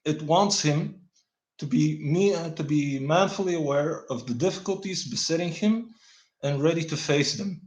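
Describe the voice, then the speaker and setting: A man whose first language is Bulgarian reads a dense text aloud in a slightly high voice, halting and restarting words as he goes. He breaks off now and then with deadpan, wry remarks. The recording sounds roomy and echoey. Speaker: channel host reading a text aloud. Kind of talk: halting read-aloud with dry asides.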